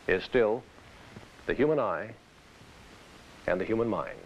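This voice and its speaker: Low-voiced man, formal, on hissy old film. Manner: formal, deliberate, instructive. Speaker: training film presenter. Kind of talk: scripted instructional presentation. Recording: dull hissy vintage training film soundtrack.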